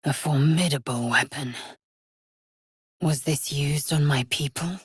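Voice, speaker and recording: A woman voicing a fierce, haughty githyanki warrior in a video game, with a husky rasp and an imperious, clipped, formal way of speaking. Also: low voice, a little slow, no room sound, clean studio sound, exaggerated voice. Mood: proud, dry. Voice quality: husky, raspy. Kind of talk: video game character voice lines.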